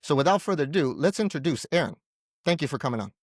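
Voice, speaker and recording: A man's voice: a little high, expressive, fast, no room sound, clean studio sound. A man hosting an interview show, speaking conversationally in rambling, wordy phrasing as he addresses his guest and audience.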